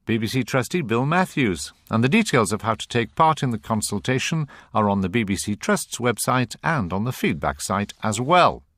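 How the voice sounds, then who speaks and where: A man's voice: mid-range voice, very animated, moderate pace, few pauses, a bit bright, no room sound, clean studio sound. A British man hosting a radio programme, presenting and interviewing in a pointed, wry manner. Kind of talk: scripted presenting and probing interview.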